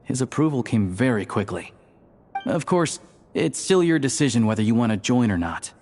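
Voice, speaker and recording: An actor playing a calm adult man in a game who recruits someone into a secret group, speaking in a measured, reassuring way. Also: medium pitch, faint music behind. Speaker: game character. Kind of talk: game voice acting.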